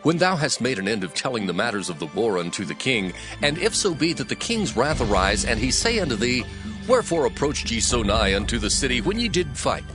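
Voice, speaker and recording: Man acting an animated, stern ancient army commander. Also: mid-range voice, a little fast, music in the background, lightly acted. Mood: stern instruction. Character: military commander sternly briefing a messenger. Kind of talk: dramatized Bible audiobook acting.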